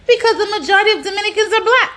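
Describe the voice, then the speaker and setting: High-pitched woman on an emphatic rant. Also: racing, a bit bright. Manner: emphatic, exasperated, rhetorical questioning; colloquial, frequent swearing. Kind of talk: opinionated monologue rant.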